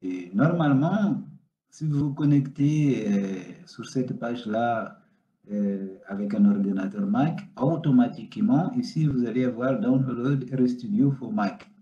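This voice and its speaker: Instructor, male, native Portuguese speaker; slow, hesitant walkthrough, mellow tone, roomy lo-fi recording. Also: medium pitch. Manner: unhurried, step-by-step, frequent hesitations. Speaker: data science instructor. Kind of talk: screen-recorded software tutorial. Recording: roomy, dull lo-fi sound.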